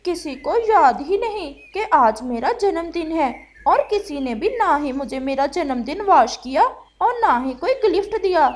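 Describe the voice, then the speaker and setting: A very high-voiced female crow from a children's cartoon, acted by a woman. She is sulky and theatrical, complaining with lively, swooping intonation. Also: a little slow. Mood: hurt, sulky. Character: sulky, dramatic female crow wife upset about forgotten birthday. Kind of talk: Hindi children's cartoon voice acting.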